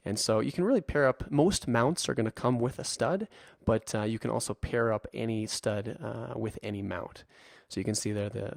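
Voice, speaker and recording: A man's voice: mid-range voice, vocal fry, dry recording, clean studio sound. A man presenting an informal, conversational slide tutorial as an instructor, in a reassuring way. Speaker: instructor and presenter for a radio equipment retailer. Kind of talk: explanatory narration of a slide tutorial.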